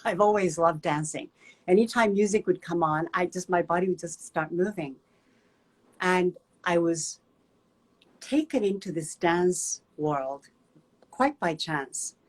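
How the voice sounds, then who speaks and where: Woman, kinda husky and low, slow thoughtful storytelling w lots of ums. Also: poor audio. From Japan. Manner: gentle, reflective, pauses with many fillers. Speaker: guest movement teacher. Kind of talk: personal storytelling.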